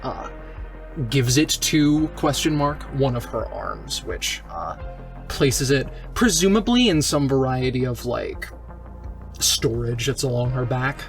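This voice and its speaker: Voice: mid-range voice, animated, slow pace, soft background music. A tabletop game player improvising narration of their own character in an informal, tentative way.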